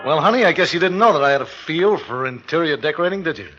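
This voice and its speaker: Hard-boiled husband, forceful voice. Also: male voice, fairly high pitch, expressive, fast talker, soft background music. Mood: coaxing. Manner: curt, hard-boiled, streetwise. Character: tough, slangy, threatening husband with a shady streak. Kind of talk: 1940s radio drama acting. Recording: dull vintage radio recording.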